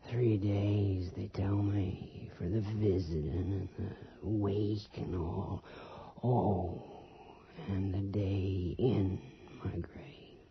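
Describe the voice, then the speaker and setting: An actor playing a guarded, plain-spoken rural father back from the grave in a radio horror drama, halting and folksy, with a breathy half-whisper. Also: deep voice, flat delivery, slight background noise, exaggerated voice. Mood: haunted, halting. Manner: terse, halting, folksy rustic speech. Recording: dull lo-fi radio recording with music.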